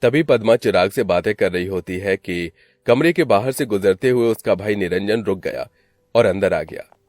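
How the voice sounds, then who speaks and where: A man's voice: on the low side, expressive, normal speed, darkish tone, no room sound. A man narrating a scripted story in a dramatic style.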